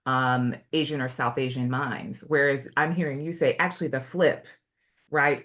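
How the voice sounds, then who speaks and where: Host voice, mid pitch, thinks out loud, lots of um and you know, very affirming. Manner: reflective, affirming, halting with fillers. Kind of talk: conversational interview.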